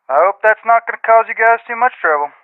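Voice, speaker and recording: A man's voice: high-pitched, fast, dry recording. A man voicing an easygoing, apologetic security repairman leaving a casual voicemail over a telephone in a video game. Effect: telephone filter. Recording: tinny narrow telephone voicemail line.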